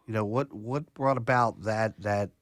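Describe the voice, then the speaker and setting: A man's voice: lowish voice, steady tone, unhurried, no echo. A man hosting a radio food show, asking friendly, rambling questions with many fillers. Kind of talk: radio interview.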